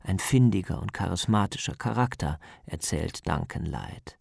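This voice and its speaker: Low-pitched, gravelly male documentary narrator. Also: expressive, normal speed, a little mellow, no room sound, studio quality. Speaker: narrator, radio documentary author.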